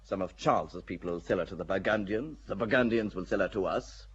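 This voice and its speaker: An actor playing a cool, cynical English nobleman in a radio drama, speaking in a languid, wry, unhurried way. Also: deep voice, breathy edge, muffled recording. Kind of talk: classic radio drama acting.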